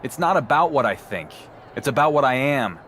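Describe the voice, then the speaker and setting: An actor playing a cocky, stubborn wrestling champion in a video game cutscene, with a dry, defiant manner. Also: male voice, medium pitch, lively intonation, fairly quick, fairly bright tone, slight background noise, natural voice.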